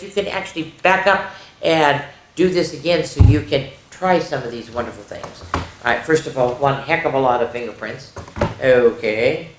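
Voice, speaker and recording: A woman hosting a children's story time, reading a picture book aloud in an animated, sing-song, question-asking way. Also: low-pitched. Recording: roomy, echoey indoor sound.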